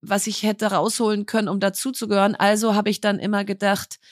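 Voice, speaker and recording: A woman in her forties co-hosting a podcast, telling personal stories in a calm, reflective way. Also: medium pitch, quick pace, no room sound, clean studio sound. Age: 40s. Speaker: podcast co-host. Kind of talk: casual chat.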